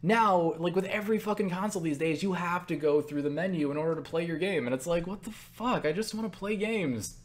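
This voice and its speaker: A man's voice: sits high, a little fast, hardly any pauses. A man talking in a casual, rambling monologue as he answers viewer questions, stumbling over words, interrupting himself and speaking bluntly. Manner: blunt, swears freely.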